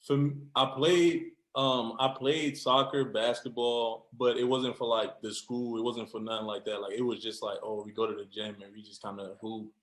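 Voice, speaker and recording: A man's voice: mid-range voice, flat delivery, normal speed, hardly any pauses, a bit bright. A young man from Cameroon, a football player, chatting casually as a podcast guest with lots of fillers and restarts. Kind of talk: casual interview. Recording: roomy echo.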